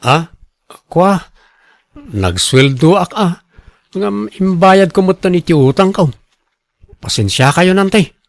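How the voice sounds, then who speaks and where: A man's voice: high voice, expressive, takes it slowly, long pauses, heavily acted. A man playing a cash-strapped, defensive husband pleading for loans in an Ilocano radio drama, with an Ilocano accent. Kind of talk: Filipino radio drama acting.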